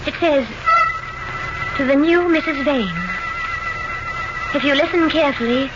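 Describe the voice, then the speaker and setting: Casting: uneasy newlywed wife, high voice, halting and insistent, vintage radio mystery style, muffled and very noisy recording. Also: female voice. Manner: hesitant, halting, insistent questioning. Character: anxious young bride haunted by first wife's death. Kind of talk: 1940s radio mystery acting.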